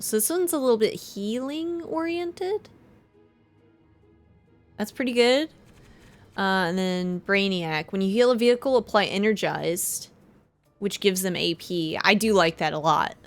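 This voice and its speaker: Female livestreamer: casual, medium-pitched game commentary and read-alouds, light game music underneath. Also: expressive, normal speed. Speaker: game streamer. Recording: faint music behind the voice.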